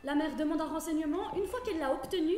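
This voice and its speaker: A woman on a theatre stage, telling a dream story in a vivid, dramatic monologue and acting out the commands she shouts. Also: high voice, fast. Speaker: stage performer. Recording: echoey stage with loud music. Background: loud music.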